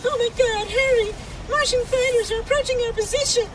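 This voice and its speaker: Man doing a shrill young female alien. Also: steady tone, a little fast, noisy background. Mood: panicked. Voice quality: shrill falsetto. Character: excitable young female reptilian alien daughter. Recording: loud backing music behind voice.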